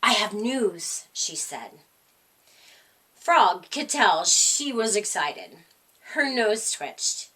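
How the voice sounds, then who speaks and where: A woman narrating a children's storytime with expressive, deliberate phrasing. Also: mid-range voice, recorded from a distance. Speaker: story reader.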